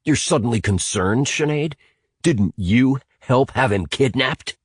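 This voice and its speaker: A man's voice: mid-range voice, very animated, measured pace, breathy edge, no room sound, studio quality, voice pushed up, exaggerated voice. A man voicing a big, suspicious, confrontational teenage boy in an adventure audiobook, blunt and scoffing. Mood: hostile, scoffing.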